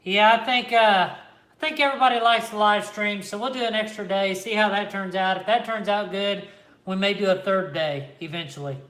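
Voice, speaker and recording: A man from the Kansas, Oklahoma and Missouri area with a Midwestern American accent, hosting a relaxed livestream from home and answering viewers' chat. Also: high voice, moderate pace. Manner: laid-back, reads and answers live chat. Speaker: reptile channel host. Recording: echoey room.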